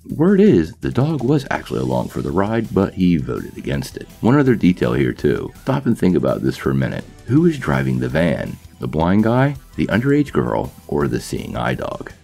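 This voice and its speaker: A man's voice: extremely low pitch, creaky, darkish tone. A man hosting a comic nostalgia channel, reading a scripted voice-over in an enthusiastic, playful storytelling manner. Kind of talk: scripted video narration.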